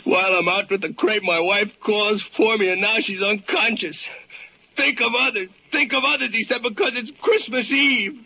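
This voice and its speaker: Overwrought, slangy ambulance driver, high-pitched. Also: male voice, bright, forceful tone, exaggerated voice. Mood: anguished. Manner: rough slangy speech, emotional, halting. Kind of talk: 1940s radio drama acting. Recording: muffled old-time radio recording, faint music.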